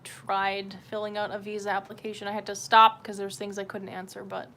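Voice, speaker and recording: A woman's voice: medium pitch, completely flat delivery, fairly quick, sharp tone. A woman chatting casually on a livestream, rambling in a candid, sweary and self-deprecating way. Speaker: livestream host.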